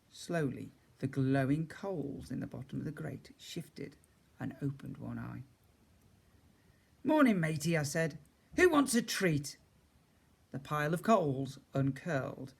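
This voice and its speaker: A man's voice: mid-range voice, gravelly, slightly rough. A man reading a children's story aloud as a teacher would, expressively, with chatty asides to his young listeners.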